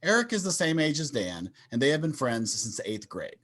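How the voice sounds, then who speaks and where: A man's voice: fairly high pitch, sing-song, moderate pace, fairly bright tone. A man narrating stage directions in a scripted table read over a video call.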